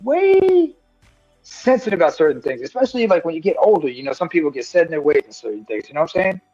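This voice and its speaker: A man's voice: on the high side, big pitch swings, fast, a little mellow, dry recording, muffled recording, soft background music. A man co-hosting a live stream, talking earnestly in long, rambling thoughts full of fillers. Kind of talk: casual discussion.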